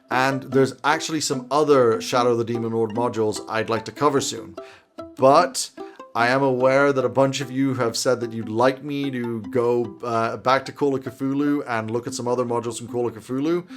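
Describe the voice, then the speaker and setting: A man in his thirties giving conversational advice to camera in an emphatic, opinionated way, as a video host. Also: medium pitch. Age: 30s. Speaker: host of tabletop roleplaying video channel. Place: small indoor home office.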